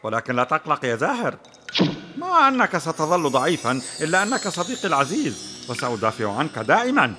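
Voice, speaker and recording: A man's voice: fairly high pitch, huge pitch swings, quick pace, sharp tone, a little hiss or hum, heavily acted. An actor voicing a boastful, greedy young male bear who is a sly trickster in a dubbed cartoon. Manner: boastful and scheming. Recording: music playing behind.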